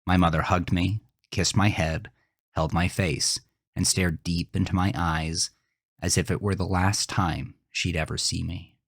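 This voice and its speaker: A man reading a scripted first-person horror story in a measured, deliberate, dramatic way. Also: sits low, steady tone, gravelly, slightly rough, no echo, studio quality. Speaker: narrator of a horror fiction podcast.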